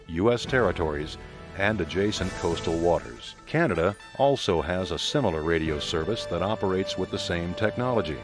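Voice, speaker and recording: A man with a low, deep voice narrates a scripted informational piece. Music plays clearly underneath his narration.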